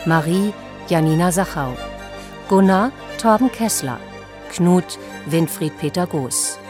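A woman announcer reading scripted credits in a measured, formal manner. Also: on the low side, animated, music in the background.

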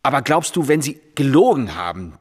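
A stubborn police inspector in a radio drama. His voice is husky and very lively in pitch, set in a reverberant room. He argues sceptically, irritable and halting. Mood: irritated disbelief. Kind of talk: German radio drama acting.

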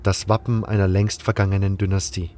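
A man's voice: low voice, level tone, brisk, warm tone, slight background noise, close to an everyday voice. An actor playing an adventurer who recounts his quest in the first person, as a storyteller in a scripted audio drama. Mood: narrating.

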